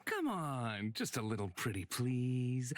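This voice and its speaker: Cocky bounty hunter, sardonic drawl, super animated, playful, game music behind. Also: male voice, medium pitch, takes it slowly. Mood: smug teasing. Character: smug, flippant male bounty hunter. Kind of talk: visual novel voice acting.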